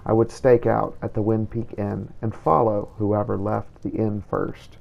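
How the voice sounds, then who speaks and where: A man voicing a solemn, righteous vampire-hunting inquisitor, narrating his hunt in formal, measured, old-fashioned phrasing for a game roleplay. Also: on the low side, level tone, vocal fry, highs cut off. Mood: grim, resolute. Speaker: video game player narrating in character. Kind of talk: scripted first-person roleplay narration.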